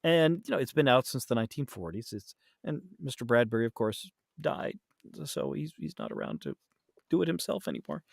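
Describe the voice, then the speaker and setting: Animated man, rambling podcast guest. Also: medium pitch, fairly quick, creaky, dry recording, clean studio sound. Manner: rambling, self-deprecating, often trailing off mid-thought. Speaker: podcast guest, audiobook narrator. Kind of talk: casual podcast chat.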